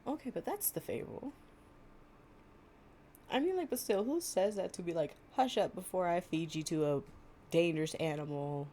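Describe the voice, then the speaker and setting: A woman's voice: fairly low pitch, expressive, moderate pace, long pauses, mellow. A young woman playing an affectionate, chatty girlfriend in an improvised, intimate storytime roleplay, with a casual, slangy and playful way of talking. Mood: amused, casual. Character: affectionate, chatty girlfriend reading fables to her partner.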